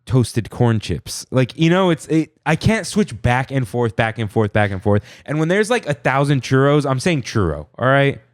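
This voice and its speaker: A man's voice: medium pitch, big pitch swings, normal speed, hardly any pauses, dry recording, clean studio sound. A man co-hosting a podcast in casual chat, whose first language is Armenian, talking animatedly and rattling off menu items. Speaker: podcast co-host.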